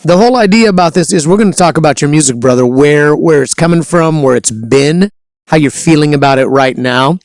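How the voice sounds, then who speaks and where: A man's voice: a little high, fairly quick, darkish tone, dry recording. A man hosting a casual interview show, upbeat and hearty, hyping up his guest. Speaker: show host.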